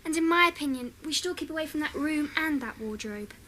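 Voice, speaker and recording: A woman's voice: sits high, expressive, fast, pushed and bright, a little hiss or hum. An actress playing a sensible, anxious English schoolgirl and elder sister in a radio drama. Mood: firm.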